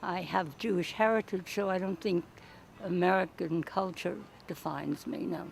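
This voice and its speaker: An older speaker from New York answers plainly and matter-of-factly. The voice is strongly gravelly, with a middle pitch. A faint noise sits behind it. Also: fairly even intonation, moderate pace. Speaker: interviewee. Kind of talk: casual interview answers.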